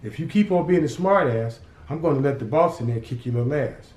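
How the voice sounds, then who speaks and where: A man voicing a calm, loyal henchman who keeps his boss cool and jokes dryly with a kid. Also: medium pitch, fairly quick, close to an everyday voice. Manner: easygoing, placating, dry humor. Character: calm loyal middle-aged male henchman. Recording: roomy echo.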